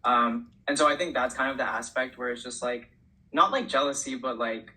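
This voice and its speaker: Young New guy, bright, sharp tone, laughs easily, loose and chatty. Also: mid-range voice, normal speed, low-quality recording. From New York City. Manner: laughs often, easygoing, rambling. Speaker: guest. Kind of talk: casual chat.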